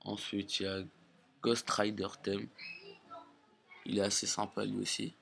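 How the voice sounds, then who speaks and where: A man giving a casual, halting demonstration in plain words, as an amateur video presenter. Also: fairly low pitch, completely flat delivery, gravelly, a bit bright. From Portugal or Portuguese family. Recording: faint background noise, amateur recording.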